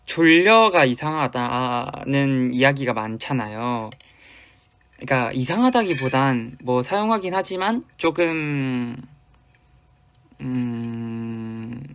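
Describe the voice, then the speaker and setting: A young man from Busan with a light Busan accent, chatting casually with fans on a livestream and answering their comments. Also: medium pitch. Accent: Busan Gyeongsang Korean, light. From Busan, South Korea. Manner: chatty, loose and playful, with sudden drops to quiet murmurs. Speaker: idol hosting a fan livestream.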